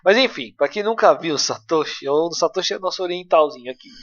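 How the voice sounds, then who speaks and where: Higher-voiced São Paulo man, casual podcast chat. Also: expressive, a little fast, fairly bright tone. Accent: São Paulo Brazilian. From São Paulo, Brazil. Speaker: podcast host discussing architecture. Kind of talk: casual, slangy group chat. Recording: dull, lo-fi podcast audio.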